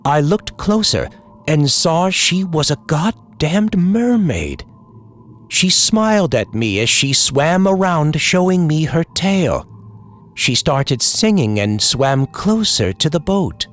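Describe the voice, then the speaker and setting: Male horror narrator, old-time radio storytelling, lively pitch, unhurried pace, music underneath.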